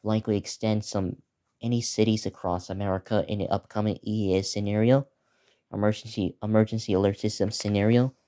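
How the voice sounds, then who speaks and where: Mellow, dark-voiced teenage boy vlogger. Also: lowish voice, fairly even intonation. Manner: halting, repetitive, listing place names. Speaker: video creator previewing upcoming videos. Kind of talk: casual unscripted vlog preview.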